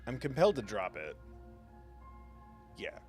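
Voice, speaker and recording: A man streaming a game live, talking in a jokey, playful, rambling way with a mid-pitched voice. Faint game music plays under his commentary. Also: huge pitch swings, a little slow.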